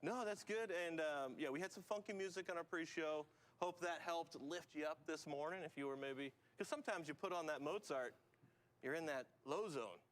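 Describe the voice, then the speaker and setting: A man co-hosting a casual morning show, his voice pitched a little higher than most. He chats loosely and riffs on jokes with his co-host. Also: normal speed, fairly bright tone. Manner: playful, riffing jokes. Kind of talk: casual chat.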